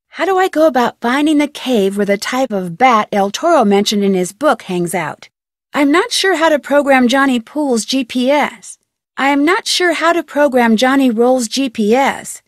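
A woman's voice: high-pitched, no echo, clean studio sound. A woman playing an inquisitive, persistent young amateur detective in a video game, speaking in a polite, earnest way. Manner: polite, earnest, crisply questioning. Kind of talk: video game dialogue voice acting.

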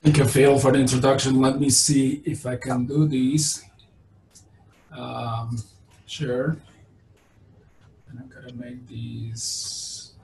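A man's voice: medium pitch, flat delivery, slow, gravelly, long pauses, a little mellow. A middle-aged man with a Venezuelan Spanish accent, a virology professor giving a webinar lecture with many fillers and restarts, heard over a laptop video call. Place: indoor room on video call. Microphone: laptop webcam on video call. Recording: roomy, distant, faint noise.

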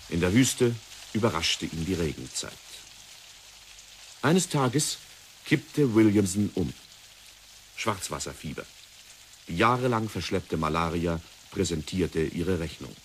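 A man narrating a radio play in a measured, dramatic way. His voice sits very low, and a faint hiss lies under the old recording.

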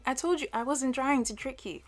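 Woman acting out a playful college art girl, flustered, halting, giggly, audio roleplay, music behind. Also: sits high, voice pushed up, natural voice. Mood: playful, mock indignant. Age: young adult.

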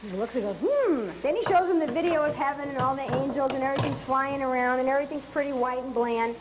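A woman's voice: sits high, fast, over a noisy crowd, poor audio. A woman co-hosting a comic cooking show, playful and squeamish, telling jokes and questioning the recipe.

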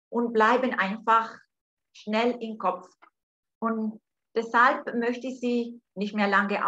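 Slow Italian-accented woman hosting online. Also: on the high side, a bit bright. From Italy. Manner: slow, hesitant with many fillers. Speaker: host. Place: home room, indoors. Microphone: video call. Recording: dull lo-fi video call audio.

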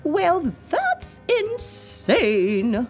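A woman's voice: very high voice, huge pitch swings, drawn-out words, darkish tone, faint music behind, pitched above the performer's own voice, heavily acted. A woman voicing a mocking, skeptical female bee in a children's storybook, with scoffing, swooping delivery.

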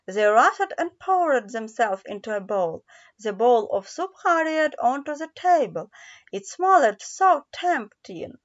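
A woman storyteller reads a children's tale carefully and a little haltingly. Her voice is high and lively, with a melodic rise and fall. The recording is dull and lo-fi. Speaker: storyteller, audiobook narrator. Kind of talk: scripted children's story narration.

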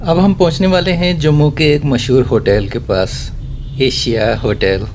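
A man's voice: a little high, steady tone, measured pace, a little mellow. Man chatting while driving. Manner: chatty, wry remarks about traffic manners. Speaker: vlogger giving a city driving tour. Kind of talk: casual running commentary while driving. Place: inside a car driving through a city in rain.

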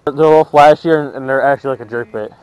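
A teenage boy on a small fishing boat, chatting excitedly and exclaiming over his catches, picked up by an action camera. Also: mid-range voice, very fast, dull audio. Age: teens. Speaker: high school angler. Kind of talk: excited casual chat while fishing. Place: small fishing boat on a windy lake, outdoors. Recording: wind and boat noise outdoors.

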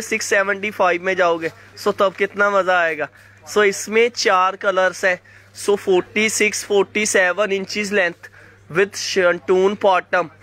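A man running a fast live sale of clothing, calling viewers by name and coughing from a sore throat. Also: sits high, pushed and bright. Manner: fast hyped patter, addresses viewers by name. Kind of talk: live sales pitch to viewers. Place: indoor shop floor, clothes laid on mat.

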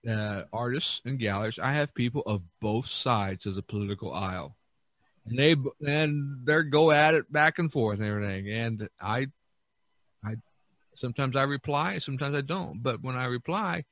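A man hosting a podcast in a conversational, halting, reflective way. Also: medium pitch, sharp tone, no room sound. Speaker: podcast host.

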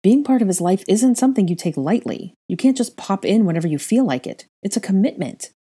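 A woman playing a protective, quick-tempered young mother in an audiobook, speaking earnestly and insistently. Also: medium pitch, animated, mellow, breathy edge, voice pushed up. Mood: earnest, heated. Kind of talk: acted audiobook dialogue.

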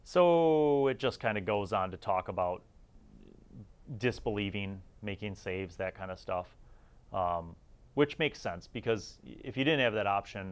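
A man hosting a retro tabletop gaming review, mixing read-aloud excerpts with informal, chatty commentary. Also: deep voice. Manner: informal, slangy, chatty asides.

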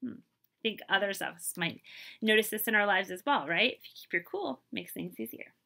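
A woman in her thirties reading a children's picture book aloud expressively, pausing to put questions to her listeners. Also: medium pitch, gravelly. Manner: animated, asks listeners questions. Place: small room indoors.

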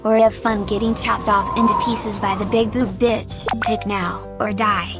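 A woman's voice: medium pitch, steady tone, measured pace, over loud music, close to an everyday voice. A woman voicing a menacing, possessive teenage witch in a crude anime parody dub. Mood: cold menace. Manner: threatening, crude.

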